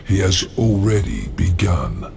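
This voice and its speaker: A man voicing a grave, ominous otherworldly informant in a video game, speaking in a deliberate, portentous way. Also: sits low, sing-song, vocal fry, a little mellow, soft background music, exaggerated voice. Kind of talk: game cutscene dialogue. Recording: faint ambient noise.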